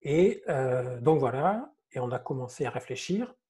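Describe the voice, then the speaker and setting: A man, an author speaking as a guest on an informal panel, casual and halting, often repeating his words. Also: mid-range voice, sing-song, mellow, breathy edge. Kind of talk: informal live panel talk.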